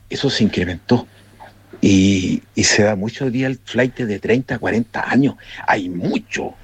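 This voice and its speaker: A man's voice: fairly low pitch, breathy edge, no room sound. A man with a Chilean accent giving his opinion informally to a show, in a colloquial, emphatic and repetitive way.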